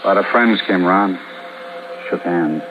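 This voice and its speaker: Low-voiced, troubled husband in radio suspense. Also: male voice, a little slow, heavy background noise. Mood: somber, weary. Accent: American. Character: troubled husband, a juror, narrating his own crime tale. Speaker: radio drama actor and narrator. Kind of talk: 1950s radio suspense acting with first-person narration. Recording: dull old radio recording with loud music.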